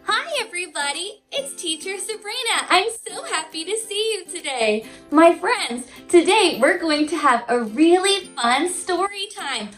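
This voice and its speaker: A woman with a very high, swooping voice leads storytime for little kids. She is a teacher who talks slowly and directly to children with big, sing-song enthusiasm. The room adds noticeable echo. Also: lively intonation, fairly bright tone, soft background music. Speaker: children's teacher and show host. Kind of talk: read-aloud storytime for young children.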